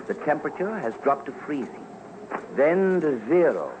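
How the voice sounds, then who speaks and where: A man's voice: a little high, sing-song, moderate pace, muffled recording, background noise. A man narrating a scripted radio documentary as commentator, formal, theatrical and emphatic. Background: music.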